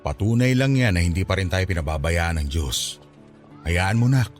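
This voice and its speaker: A man acting a devout, wise, gently nagging father in his fifties in a Filipino radio drama, speaking in a fatherly, reassuring way with a Filipino accent. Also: low voice, animated, moderate pace, soft background music. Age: 50s.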